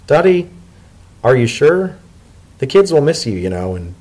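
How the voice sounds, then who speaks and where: A man voicing an anxious, affectionate male pony in a story reading, speaking in a hesitant, earnest and excitable way. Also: medium pitch, a little slow, pitched above the performer's own voice. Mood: hesitant, concerned.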